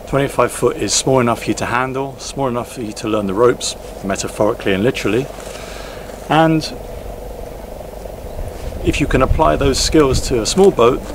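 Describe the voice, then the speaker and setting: A man in his forties talking casually to camera outdoors at a boatyard, relaxed and reflective, with frequent fillers and restarts. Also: medium pitch, noisy background. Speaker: sailing vlogger. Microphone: camera-mounted mic, handheld selfie framing.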